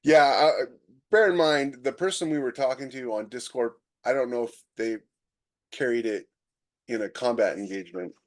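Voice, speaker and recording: A man's voice: medium pitch, moderate pace. A man co-hosting a podcast in a casual, conversational way, freely giving his opinions. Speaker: podcast co-host.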